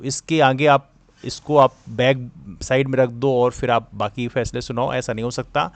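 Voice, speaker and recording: A man's voice: a little high, dry recording. A man giving an explanatory lecture as a coaching teacher, didactic and mixing in English terms. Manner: didactic, code-switches between Hindi and English. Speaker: exam coaching teacher and presenter. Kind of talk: explanatory lecture on current affairs.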